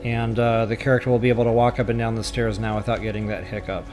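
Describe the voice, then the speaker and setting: A man's voice: lowish voice, flat delivery, fast. A man hosting a video game building tutorial, informal and mildly self-deprecating as he thinks aloud. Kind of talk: casual screen-recorded game building tutorial. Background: music.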